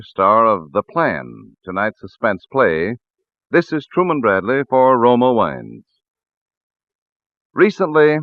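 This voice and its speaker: A man announcing a 1940s radio show and its commercial in a polished, deliberate sales delivery. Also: mid-range voice, no room sound. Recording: muffled vintage radio recording, phone-like band, faint music.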